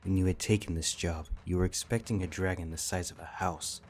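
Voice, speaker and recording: Deep-voiced man narrating a fantasy story. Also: fairly even intonation, fairly quick, darkish tone. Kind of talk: scripted second-person fantasy story narration. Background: faint music.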